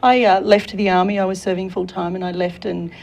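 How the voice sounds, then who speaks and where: A woman's voice: medium pitch, flat delivery, a little fast, creaky. An Australian woman in her forties, an enthusiastic career consultant talking as a guest in a studio interview. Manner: warm, enthusiastic, laughs often.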